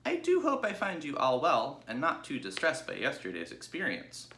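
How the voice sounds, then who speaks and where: Man putting on a squeaky young piglet, very polite and earnest, high and lively, storybook voice, echoey room. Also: moderate pace, slight background noise, exaggerated voice. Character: polite young male pig, eager and gentle.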